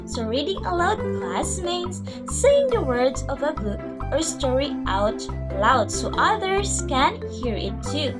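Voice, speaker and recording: A woman's voice: high-pitched, big pitch swings, takes it slowly, few pauses. A woman giving a lesson presentation as a teacher, partly reading and explaining simply to a class. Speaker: student presenter acting as teacher. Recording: loud music behind the voice. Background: music.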